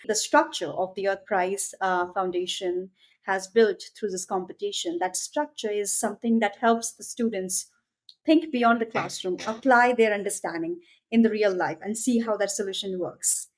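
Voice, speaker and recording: A woman's voice: mid-range voice, moderate pace. A woman teacher speaking hesitantly in a panel discussion, with ums and uhs.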